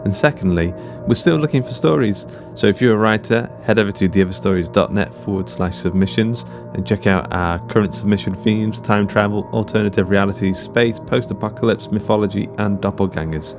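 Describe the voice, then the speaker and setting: Very low-voiced man hosting a podcast. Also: fairly quick, hardly any pauses. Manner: playful, whimsically wordy. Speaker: podcast host. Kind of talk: scripted show introduction. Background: music.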